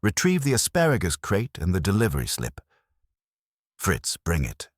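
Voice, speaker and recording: Man acting an imperious detective, very deep voice. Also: wildly swooping pitch, moderate pace, dry recording, studio quality, heavily acted. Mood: stern. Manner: formal, precise, commanding diction. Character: imperious, pedantic, affronted older male genius detective.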